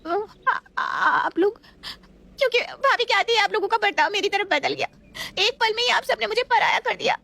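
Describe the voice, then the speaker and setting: A woman's voice: extremely high pitch, expressive, moderate pace, creaky, sharp tone, pitched above the performer's own voice, full character voice. A woman voicing a spoiled, scheming young married daughter in a family story, whiny and demanding. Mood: dramatic whining.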